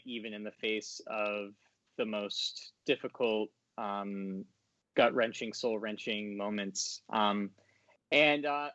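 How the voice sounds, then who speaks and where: A man's voice: on the low side, fairly bright tone, no echo. A man rambling casually, hesitating and stammering as he searches for his words. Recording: dull, very dry audio.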